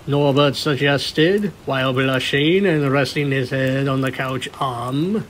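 A man's voice: medium pitch, fairly even intonation, takes it slowly, fairly bright tone, a little hiss or hum. A man narrating a scripted story as a fan fiction reader.

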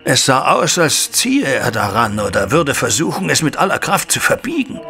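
A man's voice: mid-range voice, very animated, moderate pace, sharp tone. An actor playing an anguished middle-aged father in an audio drama, speaking in a formal, agitated and dramatic way. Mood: tense recounting. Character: distraught, anguished banker father recounting a family scandal. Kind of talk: German audio drama acting. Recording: studio audio drama with music underneath.

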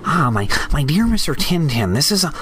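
A man's voice: on the high side, sing-song, moderate pace, fairly bright tone, faint music behind. A man playing an ingratiating, smarmy gangster boss who stammers and repeats his words in an audio drama.

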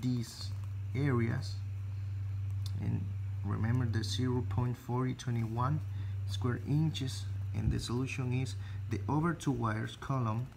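Slow, gravelly man with a Spanish accent. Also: mid-range voice, fairly even intonation, darkish tone, slight background noise, rough recording. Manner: halting, restarts phrases, searches for English words. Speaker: electrical teacher. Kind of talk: slow step-by-step tutorial.

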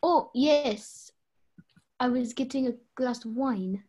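Young woman playing upset mystery suspect, high-pitched, husky, defensive, hesitant, amateur radio play. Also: slow, dark tone, heavily acted. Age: young adult.

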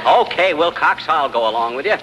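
An actor playing a blustering, wisecracking husband with folksy slang in 1940s radio comedy style. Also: male voice, fairly high pitch, lively intonation, a little fast, lightly acted. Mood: blustery. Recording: noisy old radio recording, loud studio audience.

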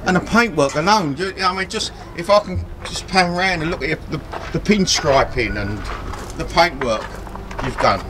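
A man's voice: on the high side, animated, normal speed, few pauses, background noise. A man asking questions in a casual, chatty way as an interviewer behind the camera outdoors. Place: outdoors in an open yard. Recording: outdoor voices and vehicle sounds behind.

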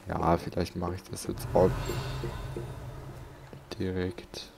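A man hosts a let's play in a low, gravelly voice. He comments casually and mutters to himself as he goes, with game music clearly behind him. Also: level tone, slow.

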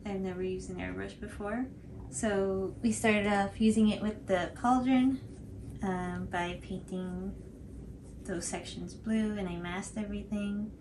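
A woman's voice: medium pitch, background noise. A woman, casually talking through a craft tutorial in informal, hesitant phrasing. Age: 20s. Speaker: cosplay tutorial host. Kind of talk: casual unscripted tutorial commentary. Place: indoor living room, seated on couch.

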